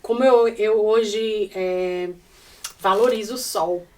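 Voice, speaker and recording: A Brazilian woman in her thirties, a guest in a relaxed chat, talking animatedly and acting out little quotes. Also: mid-range voice, slow pace. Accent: Brazilian Portuguese. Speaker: podcast guest. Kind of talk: casual chat. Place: indoors in Ireland. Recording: voice a little distant from the mic.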